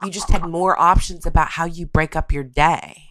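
A woman's voice: deep voice, fairly even intonation, moderate pace, a bit bright, a little hiss or hum. A woman hosting a podcast, talking through a topic. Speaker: podcast host.

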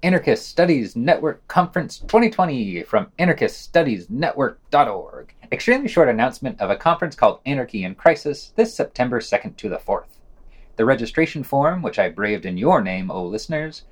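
A man reads a scripted podcast news roundup in a medium-pitched voice that swings widely in intonation. His delivery is sardonic and wry, treating listings and quotations with editorial bite. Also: big pitch swings, a little fast. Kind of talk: scripted news summary reading.

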